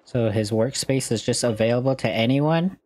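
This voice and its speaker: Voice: mid-range voice, normal speed, a little mellow. A game streamer giving casual, reactive playthrough commentary in a playful, exclamatory way, talking to the game.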